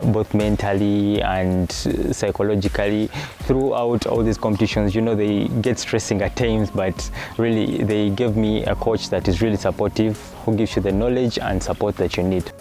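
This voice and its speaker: A young man gives a testimonial. His voice is mellow and fairly low, with faint music underneath. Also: steady tone, moderate pace. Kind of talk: interview testimonial.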